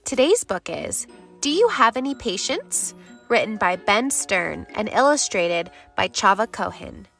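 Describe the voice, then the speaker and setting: A woman hosting a children's read-aloud, giving expressive scripted storybook narration. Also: mid-range voice, creaky. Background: music.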